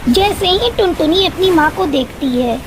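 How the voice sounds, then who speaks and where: A woman's voice: extremely high pitch, expressive, normal speed, a little hiss or hum. A woman narrator reading a scripted children's cartoon story.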